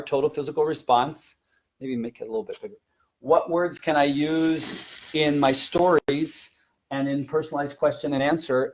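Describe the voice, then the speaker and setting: A man's voice: on the high side, low-quality recording. Man explaining things in a teaching webinar. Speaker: language teacher and trainer.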